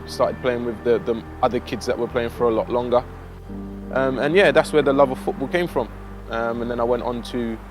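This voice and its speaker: Young south east london guy chatting about his own life, mid pitch, pretty level delivery, thoughtful, music in the background. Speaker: vlogger telling own life story. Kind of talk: casual autobiographical monologue.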